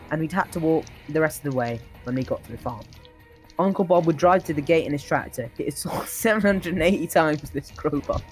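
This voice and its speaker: A person reading a comic tall tale as an old-timer recalling a harsh childhood, often stumbling and breaking into laughter. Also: medium pitch.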